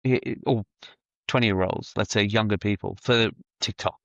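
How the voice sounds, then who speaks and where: A man's voice: on the low side, fairly even intonation, normal speed, creaky, a bit bright, no echo, dull audio. A man appearing as a podcast guest, giving confident advice in casual conversation. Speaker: marketing director guest. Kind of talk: casual interview chat.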